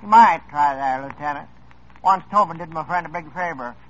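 A man playing a sly high-voiced police stoolie. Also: normal speed, a bit bright, natural voice. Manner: sly, chuckling, drawling. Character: sly male small-time police informer. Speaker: police informant. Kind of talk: 1950s radio drama acting. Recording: dull old radio broadcast.

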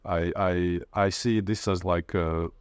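A man's voice: low-pitched, fairly even intonation, slow pace, clean tone, no room sound. A man with a Latvian accent giving informal interview answers in a hesitant way, often groping for words. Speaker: interviewee, playwright and literature lecturer.

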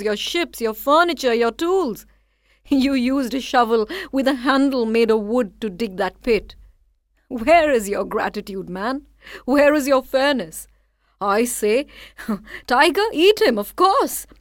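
A woman voicing a bitter, resentful old pine tree in a storybook tale, speaking forcefully and accusingly. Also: fairly high pitch, dry recording, clean studio sound, voice pushed up.

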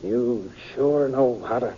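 An actor playing a flustered, folksy sheriff who stammers in a 1950s Western radio drama. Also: male voice, mid-range voice, slow, mellow, natural voice. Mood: grateful, reassuring. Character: grateful, flustered frontier sheriff, grown man. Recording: dull, faintly noisy old radio recording.

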